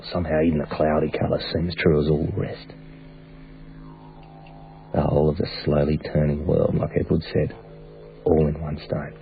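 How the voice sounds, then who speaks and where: A wistful, easygoing young Australian traveller in a naturalistic radio drama. His voice is very deep with a gravelly fry, and he reminisces in plain colloquial speech. It sounds thin, as if down a phone line. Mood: dreamy, awed. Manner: reflective, colloquial storytelling. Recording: dull, phone-like band, faint music.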